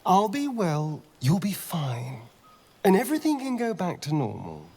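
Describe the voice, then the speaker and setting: Slow, coaxing vampire. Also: male voice, sits high, huge pitch swings, gravelly, warm tone, slightly rough, exaggerated voice. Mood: earnest persuasion. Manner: theatrical, coaxing, hesitant pleading. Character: suave theatrical male vampire, wheedling and needy. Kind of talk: video game character acting.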